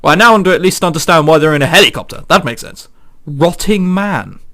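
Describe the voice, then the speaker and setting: A man's voice: a little high, lively intonation, brisk, bright, forceful tone. A man reading a visual novel aloud for a let's play, breaking off into incredulous, sweary commentary. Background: game background music.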